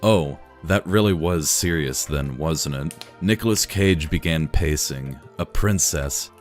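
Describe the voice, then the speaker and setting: A man reading a fan story aloud in a dry, deadpan narrating manner. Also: a bass voice, a little slow, faint music behind. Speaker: reader.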